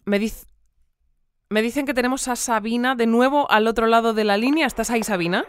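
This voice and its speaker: A woman's voice: fairly high pitch, fairly quick, clear, resonant tone, no room sound, lightly acted. A woman playing an earnest, formal news bulletin presenter in a sci-fi audio drama. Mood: formal, composed. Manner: formal, composed announcer delivery. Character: earnest female newsreader of an absurd sci-fi town. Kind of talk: scripted audio fiction newscast.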